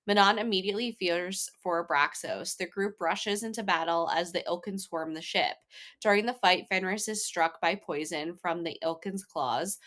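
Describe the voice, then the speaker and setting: A woman's voice: lowish voice, a bit bright. A woman co-hosting a book club podcast, moving between casual discussion and prepared summaries. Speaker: podcast co-host.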